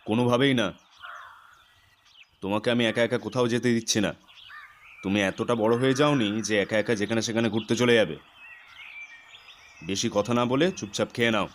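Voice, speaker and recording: A man's voice: lowish voice, steady tone, normal speed, long pauses, a little hiss or hum, close to an everyday voice. Man acting stern middle-aged father. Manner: scolding, admonishing. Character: stern, exasperated but caring middle-aged Bengali father. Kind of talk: audio story drama acting.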